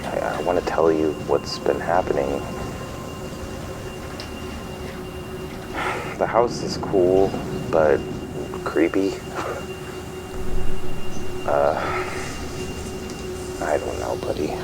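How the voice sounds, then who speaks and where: A man plays an anxious, isolated dad in an audio drama. His voice sits extremely low and moves slowly, hesitating and trailing off. Loud noise rumbles behind him. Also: full character voice. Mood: worried, tender. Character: anxious, isolated, bewildered father leaving voicemails. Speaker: actor in a fiction podcast. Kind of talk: naturalistic audio drama phone monologue. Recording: noisy line with loud background rumble.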